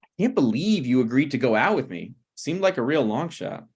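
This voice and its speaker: A man's voice: mid-range voice, sing-song, a little fast, darkish tone, husky. A man reading the part of a flippant, needling, self-deprecating screenwriter in a conversational comedy table read. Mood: awkward banter.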